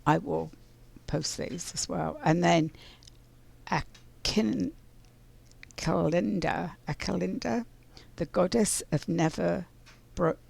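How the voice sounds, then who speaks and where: Woman, scratchy voice, heavy vocal fry, slow pace, reflective spiritual card reader. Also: fairly low pitch, a little mellow. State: scratchy voice from pollen. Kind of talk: casual live oracle card reading.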